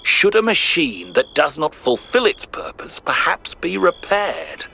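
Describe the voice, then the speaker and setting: An actor playing a thoughtful, principled ancient Greek philosopher in a video game, giving a grave, measured, rhetorical monologue. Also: male voice, fairly high pitch, huge pitch swings, creaky, pushed and bright, slightly rough, exaggerated voice. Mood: questioning, pointed. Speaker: narrator of philosophical audio logs. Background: music.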